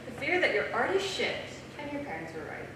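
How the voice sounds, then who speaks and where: A woman's voice: on the high side, big pitch swings, fast. A woman playing a taunting, ominous inner voice of fear in a staged script reading in a theater. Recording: echoey theater, distant mic, clear noise.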